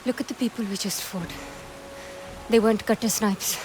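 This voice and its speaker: A woman's voice: mid-range voice, fairly even intonation, normal speed, noisy background, natural voice. An actress playing a determined, defiant young woman adventurer in a video game, speaking tersely and earnestly. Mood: earnest, regretful. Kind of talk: video game cutscene acting.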